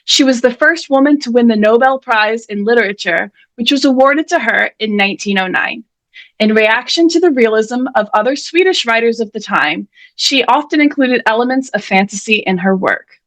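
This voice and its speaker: A woman with a high voice hosts an online author event. She speaks in a chatty, playful manner with a touch of mock drama. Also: lively intonation, normal speed, a bit bright. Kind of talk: chatty, scripted event hosting. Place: indoors, on a video call.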